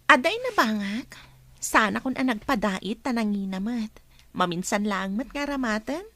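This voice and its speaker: A woman's voice: fairly high pitch, expressive, moderate pace, fairly bright tone, no room sound. A woman with an Ilocano accent playing a chatty young woman in a radio drama. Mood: teasing.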